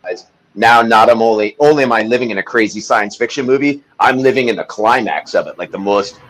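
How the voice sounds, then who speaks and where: A man's voice: on the low side, brisk, a bit bright, a little hiss or hum, rough recording. A man, a podcast guest, talking in a casual interview chat, intense and emphatic as he tells his story.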